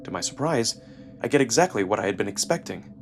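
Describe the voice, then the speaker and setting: A man narrating a first-person story dramatically, with expressive, deliberate pauses. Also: fairly low pitch, slight background noise. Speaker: narrator and performer. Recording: music behind the voice. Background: music.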